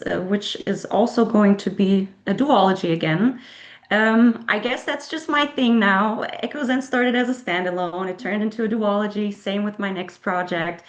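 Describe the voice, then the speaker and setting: A woman whose first language is German, an author answering interview questions thoughtfully, with frequent fillers and soft laughs. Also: medium pitch, muffled recording. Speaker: author guest.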